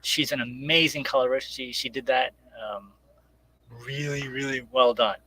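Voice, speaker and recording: A man talking casually and haltingly as a guest creator plugging his project on a live stream. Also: mid-range voice, steady tone, bright, forceful tone. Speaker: guest comic creator promoting crowdfunded book and radio show.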